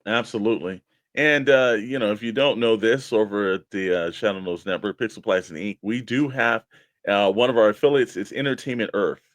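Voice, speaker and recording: A man with a fairly low voice speaks as a casual show co-host. His delivery is conversational, and the sound is dry and close. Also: fairly quick, no room sound. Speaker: co-host of a toy collecting show.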